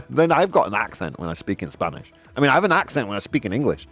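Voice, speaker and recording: A man hosting a casual opinion show, talking in an animated, rambling way with lots of fillers and self-corrections. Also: on the low side, quick pace, faint music behind. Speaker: podcast host. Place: Spain.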